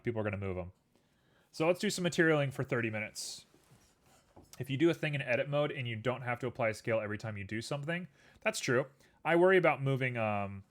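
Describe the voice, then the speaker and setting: A young man streaming live, chatting casually and rambling to his viewers while building a 3D scene. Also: mid-range voice. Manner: relaxed, rambling, talks to chat and to objects on screen.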